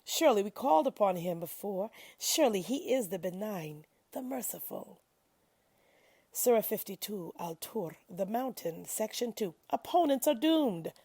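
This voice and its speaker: A woman reading religious scripture aloud expressively, with measured pauses and dramatic emphasis. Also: medium pitch, animated, warm tone, slightly rough, no echo. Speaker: reader of scripture.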